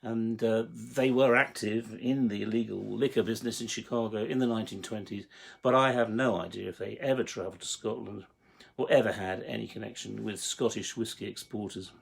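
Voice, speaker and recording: A man giving a prepared explanatory monologue as a writer and producer, speaking in a measured, articulate way with emphatic phrasing. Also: fairly low pitch, fairly even intonation, vocal fry, husky. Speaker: writer and producer introducing his audio drama series.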